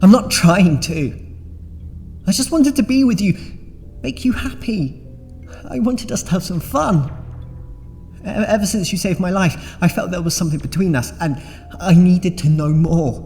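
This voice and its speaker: A man's voice: high-pitched, animated, unhurried, long pauses, a little mellow. An actor playing an obsessive, wounded young man in an audio drama, pleading and faltering with emotion. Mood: tearful longing. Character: obsessive, needy, wounded young male hanger-on. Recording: long echoing reverb, music behind.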